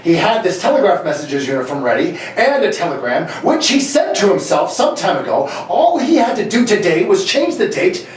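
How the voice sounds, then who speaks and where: A woman's voice: fairly low pitch, sing-song, fairly quick, few pauses. A woman playing an exasperated, blustering father who is a police inspector in an amateur radio play reading. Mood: realizing. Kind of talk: amateur live reading of a 1940s radio mystery. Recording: very echoey room, distant mic, faint noise.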